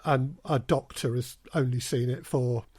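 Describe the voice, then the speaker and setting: A man's voice: medium pitch, unhurried, darkish tone, husky, no room sound. Man explaining in a dry-sounding interview. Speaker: guest, audio drama producer. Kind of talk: casual podcast interview.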